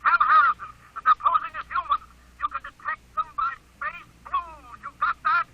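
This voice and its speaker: A high, urgent captain over a thin, crackling transmitter, clipped and desperate. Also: male voice, moderate pace. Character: desperate male ship captain radioing for help. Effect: radio transmission filter. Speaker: spaceship captain. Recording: tinny, hissy old radio broadcast.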